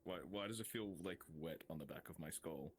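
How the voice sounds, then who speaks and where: A man's voice: deep voice, brisk. A man playing a wry, laid-back ghost possessing a skeleton, chatting nonchalantly in a casual roleplay. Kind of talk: casual improvised roleplay banter.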